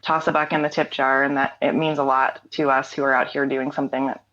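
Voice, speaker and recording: A woman's voice: a bass voice, flat delivery, fast talker, a bit bright, lo-fi sound. A woman guest speaking candidly in a casual interview, reflective, with frequent ums and restarts. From North Carolina. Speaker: interview guest, singer-songwriter.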